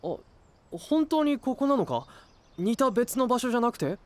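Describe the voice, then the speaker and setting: A man's voice: very high-pitched, sing-song, moderate pace. A man playing an earnest, trusting young knight hero in an anime-style voice drama, speaking with friendly sincerity. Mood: disbelieving.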